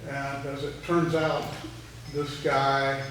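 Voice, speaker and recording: Male audience member with a flat, even delivery, telling a story conversationally, far off in a very echoey room. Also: mid-range voice, slow. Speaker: audience member, Air Force veteran. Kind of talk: conversational anecdote. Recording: very echoey room, distant, faint noise.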